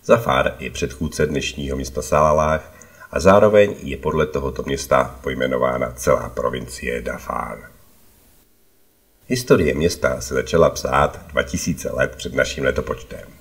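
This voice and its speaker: A man's voice: extremely low pitch, vocal fry. A man narrating a travel vlog from a prepared script, as a voice-over.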